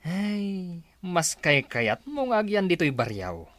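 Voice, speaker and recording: A man's voice: sits high, very animated, slow pace, a bit bright, no echo, full character voice. A man playing a teasing, needling older male relative in an Ilocano comedy drama, with a Filipino Ilocano accent. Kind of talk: Ilocano radio drama acting.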